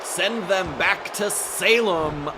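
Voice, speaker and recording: Sarcastic high-voiced male color commentator. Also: takes it slowly, heavy background noise. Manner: sarcastic, jokey, mock-indignant. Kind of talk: comedic wrestling commentary.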